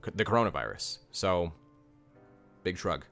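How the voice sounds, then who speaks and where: Young male coach, deep low voice, blunt and repetitive, casual live-stream advice, faint music under it. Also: sing-song, moderate pace, vocal fry, a bit bright. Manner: blunt, direct advice, repeats key points.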